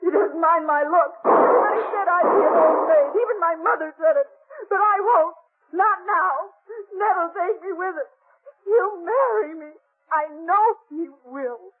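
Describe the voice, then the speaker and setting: An actress playing a plain, lovelorn young frontier woman in a western radio drama, pleading, emotional and halting. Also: extremely high pitch. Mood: desperate, defiant. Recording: tinny, narrow-band vintage radio recording.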